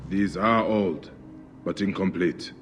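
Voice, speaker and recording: Deep, grave ancient warrior. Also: male voice, long reverb tail, soft background music. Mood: grave, measured. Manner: grave, measured, formal. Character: stoic, solemn ancient Egyptian male warrior on a quest. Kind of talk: video game drama acting.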